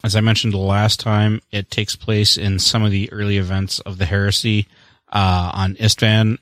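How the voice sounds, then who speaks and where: Low-voiced man in casual chat. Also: a bit bright, dry recording. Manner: rambling, wry, self-deprecating. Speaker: podcast co-host.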